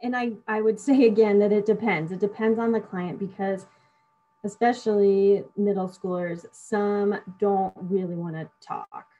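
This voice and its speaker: A woman who works as a speech-language pathologist, explaining her therapy approach in a relaxed conversation and working through examples of what she asks her clients. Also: mid-range voice, a little slow, dull audio. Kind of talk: casual professional conversation.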